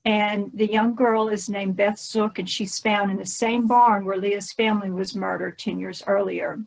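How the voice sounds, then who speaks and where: A woman's voice: medium pitch, steady tone, moderate pace, creaky, rough recording. A woman guest author talking conversationally, explaining the story of a book.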